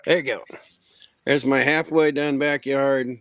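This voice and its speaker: A man's voice: medium pitch, level tone, a little slow, a bit bright, smooth, no echo. A man chatting casually as a guest, talking from a backyard. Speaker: hangout guest. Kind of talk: casual chat. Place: outdoors in a backyard.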